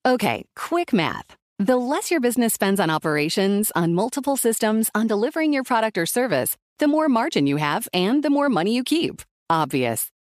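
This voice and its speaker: A woman reads a business ad with punchy emphasis, her pitch swinging widely from line to line. The sound is dry and close. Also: very animated, moderate pace, few pauses, dry recording. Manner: punchy, emphatic list delivery. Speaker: ad narrator. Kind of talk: scripted ad read.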